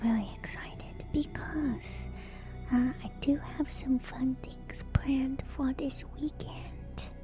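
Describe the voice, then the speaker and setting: Female ASMR streamer, slow whispery delivery, gain-boosted close mic, soft and quiet host chat over music. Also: high voice, fairly even intonation. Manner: soft, hushed, switching between whisper and quiet speech. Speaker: livestream host. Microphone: very close microphone with gain turned up, mouth noises.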